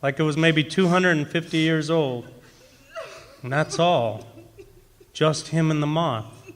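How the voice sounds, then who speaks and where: In a reverberant hall with some noise behind, an actor plays a baffled forest ranger reporting by telephone in an old-time radio drama. He is folksy and halting, with a slightly high voice. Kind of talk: 1940s-style radio play acting. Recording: echoey hall with background noise.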